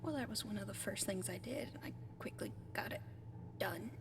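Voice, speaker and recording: A woman voicing a gentle giant alien in an ASMR roleplay, speaking softly and often whispering, in a hesitant, halting, explaining way. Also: medium pitch, animated, background noise, close to an everyday voice. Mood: gentle, apologetic. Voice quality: soft, breathy, often whispered. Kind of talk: ASMR roleplay addressed to the listener.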